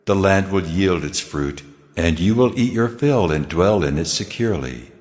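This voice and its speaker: Man as deep, reverberant voice of God. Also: expressive, moderate pace, darkish tone. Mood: solemn, commanding. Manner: solemn, authoritative, declarative. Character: God, commanding, solemn male divine lawgiver. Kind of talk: dramatized scripture reading. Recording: long cavernous reverb.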